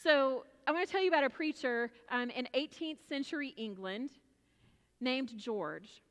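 A middle-aged American woman pastor delivering a sermon with personal storytelling in a loud, emphatic, projected voice. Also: high-pitched, animated, moderate pace. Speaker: pastor preaching. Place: large church sanctuary, at pulpit. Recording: reverberant large church hall.

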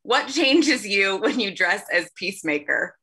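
A woman's voice: medium pitch, very animated, measured pace, pushed and bright, breathy edge. A young woman moderating a virtual press conference over a video call, reading out questions.

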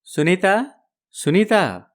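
A man's voice: high-pitched, sing-song, drawn-out words, full character voice. An evasive young husband caught between two families, as a man acts him out. He soothes and makes excuses in a slightly raised voice.

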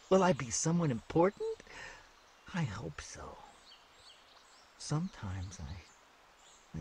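A man voicing a dreamy young boy who is eager to please his father, speaking softly and hesitantly. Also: fairly high pitch, vocal fry, slight background noise, voice pushed down, full character voice.

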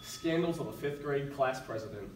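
A teenage boy hosts a school improv show, calling out each prompt in a brisk, announcer-like way. His voice comes from far off in an echoey classroom, with loud noise. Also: medium pitch, lively intonation. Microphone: far camera.

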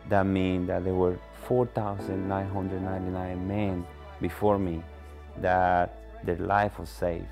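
A middle-aged man speaks in a very low voice with a Dominican Spanish accent. He gives a personal testimonial, with soft music behind him. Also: level tone, unhurried, vocal fry. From Dominican Republic. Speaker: cancer patient telling his story. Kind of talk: personal testimonial in a promotional documentary.

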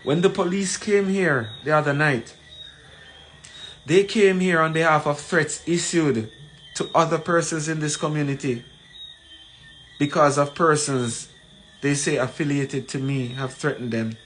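A man in his forties with a Jamaican accent gives an emphatic, deliberate address to camera, repeating phrases for weight. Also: a little high, a bit bright. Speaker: community leader. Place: small plain room indoors. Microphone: phone at arm's length. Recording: noisy room, ambient background sound.

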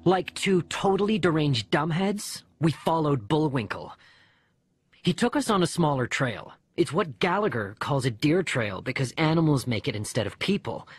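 A man reading a first-person children's story as an audiobook narrator, with a husky voice. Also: fairly high pitch, animated, moderate pace, no room sound. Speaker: audiobook reader.

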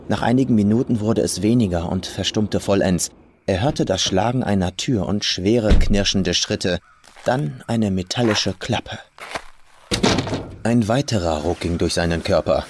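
A man's voice: fairly low pitch, a little slow, background noise. A man reading a scripted horror story as a dramatic audiobook narrator. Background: faint atmospheric music.

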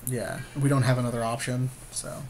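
A man's voice: mid-range voice, level tone, normal speed. A man co-hosting a podcast, chatting casually and agreeably as he advises on a card game. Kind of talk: casual chat. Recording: clear background noise.

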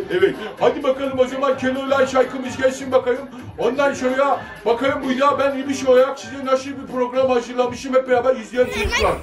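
A man playing a mischievous young male comic servant who chats playfully to children and keeps repeating himself. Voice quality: chatty, repeats himself, high squeaky put-on voice. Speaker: children's show entertainer. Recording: echoey, distant, music behind.

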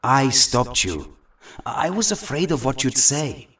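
A man's voice: mid-range voice, lively intonation, slow pace, fairly bright tone, recorded from a distance. An earnest young mutant hero with a troubled heart, played by a man for an audio drama. His mid-range voice swings expressively and sits slightly back from the microphone. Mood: troubled, hesitant.

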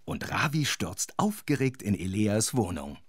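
A man's voice: on the low side, very animated, a little slow, slightly rough, no room sound. A man narrating a scripted children's story, with playful, conspiratorial asides to the listener.

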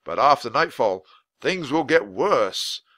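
A man voicing a tall, thin, polite older gentleman, speaking in a proper, old-fashioned way. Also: medium pitch, big pitch swings, takes it slowly, no echo, full character voice. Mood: formal.

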